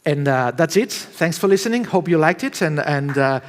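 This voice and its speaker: A man wraps up a public talk, stopping and restarting often as he speaks. His voice sits somewhat higher than most men's. A long hall reverb follows each phrase. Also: lively intonation. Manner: hesitant, halting restarts. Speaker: symposium speaker. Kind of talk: public presentation. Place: symposium hall.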